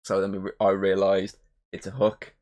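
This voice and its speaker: A croaky-voiced man co-hosting a casual film review podcast, rambling with lots of "um" and "obviously". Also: low-pitched, completely flat delivery, moderate pace, darkish tone. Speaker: podcast co-host.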